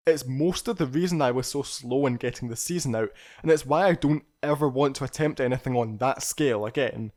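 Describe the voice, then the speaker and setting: A man's voice: medium pitch, fairly quick, darkish tone. A man narrating a scripted personal retrospective as a video creator, speaking in a candid, reflective and articulate way.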